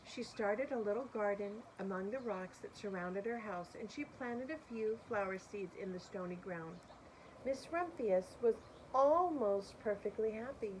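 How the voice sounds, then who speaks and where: A woman's voice: medium pitch, unhurried, darkish tone, slight background noise, poor audio. A woman reading a picture book aloud expressively, with asides and questions to young listeners. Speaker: storybook reader.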